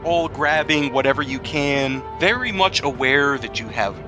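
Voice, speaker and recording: A man's voice: medium pitch, lively intonation, normal speed, over music. A man improvising narration as the game master of a tabletop role-playing session.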